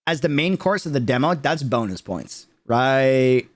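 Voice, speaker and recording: A man's voice: mid-range voice, lively intonation, moderate pace, noisy background. A man giving casual, emphatic livestream commentary, chatting to viewers in slang and repeating words for stress. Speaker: streamer reacting to a game video.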